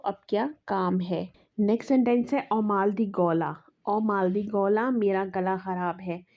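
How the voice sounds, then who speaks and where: A woman teaching language phrases. She says each phrase very slowly, repeats it, then explains what it means. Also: mid-range voice. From Pakistan. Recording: dull lo-fi recording.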